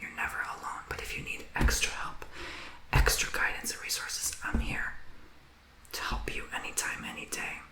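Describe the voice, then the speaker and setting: A man giving a tarot reading in a soft whisper, earnest and emotional, rambling as he encourages the listener. The recording has a roomy echo. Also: normal speed. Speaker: tarot reader.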